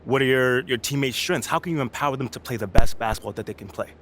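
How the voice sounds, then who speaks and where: A man's voice: mid-range voice, fast talker, fairly bright tone, close to an everyday voice. An actor playing an earnest young male basketball rookie in a video game, talking in an informal, self-assured, slangy way. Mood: explaining, assured. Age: young adult. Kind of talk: video game cutscene acting, casual interview talk. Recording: clear background noise.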